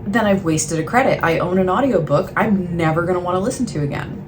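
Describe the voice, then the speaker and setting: Woman, lowish pitch, casual vlog chat, rambling, self-deprecating, trailing thoughts, noticeable background noise. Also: fast. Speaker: book vlogger. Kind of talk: casual chatty vlog talk to camera. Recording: clearly noisy, ambient background sound.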